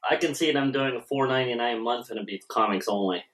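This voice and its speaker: A man's voice: mid-range voice, quick pace, fairly bright tone. A man co-hosting a podcast, talking casually. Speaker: podcast co-host. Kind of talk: casual chat.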